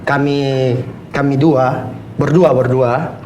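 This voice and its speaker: A man's voice: medium pitch, fairly even intonation, takes it slowly, a crowd in the background. A man working as a miner, answering with brief affirmations and plain storytelling. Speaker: mine worker and content creator. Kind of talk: casual interview answers. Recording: echoey room with clear background noise.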